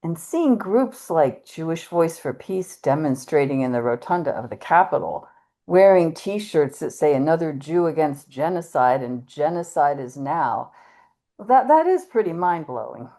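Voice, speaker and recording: A woman plays a concerned, hesitant American churchgoer in a readers theater dialogue. The voice is mellow and slightly low, with very animated pitch. The delivery is tactful and questioning. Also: unhurried, exaggerated voice. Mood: surprised, reflective.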